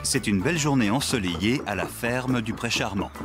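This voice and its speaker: Un homme qui fait la narration écrite d'un dessin animé pour enfants. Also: male voice, medium pitch, animated, moderate pace, over music, close to an everyday voice. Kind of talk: scripted children's cartoon narration.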